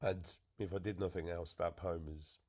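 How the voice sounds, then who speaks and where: Man guest, earnest and emphatic, lowish voice. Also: level tone, normal speed, darkish tone. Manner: earnest, emphatic, self-deprecating. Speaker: interview guest and fellow podcaster. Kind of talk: emotional conversation.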